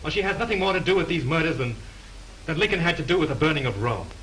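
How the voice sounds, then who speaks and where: Cocky young detective type, slightly high voice, bright, sarcastic, faint hiss. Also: male voice, animated, rough recording. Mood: wry. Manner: brash, quick-witted, sarcastic. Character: cocky young man playing amateur detective. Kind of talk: 1930s film acting. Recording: faint hiss of 1930s film soundtrack.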